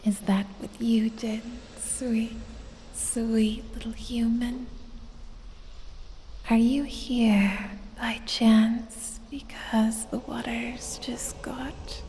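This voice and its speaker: A woman voicing a sultry, predatory lamia who teases and coaxes with quiet menace in a breathy, whispery ASMR roleplay, drawing out her words seductively. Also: steady tone, a little hiss or hum. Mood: sultry, teasing. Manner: slow, drawn-out, seductive teasing with low chuckles. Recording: long cavernous reverb.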